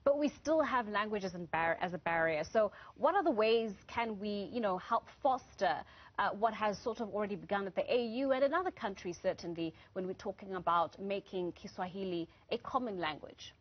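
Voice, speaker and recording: A woman anchoring a news interview, her mid-pitched voice even and measured as she frames questions. The sound is slightly dull and lo-fi. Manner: measured, formal, steers the conversation.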